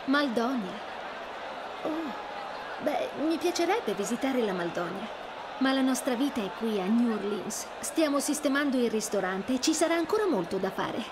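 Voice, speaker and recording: A woman playing a hopeful, hardworking, kind young restaurateur in an animated game dub. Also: a little high, moderate pace, noisy background, lightly acted. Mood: kind, earnest. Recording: crowd and street ambience behind.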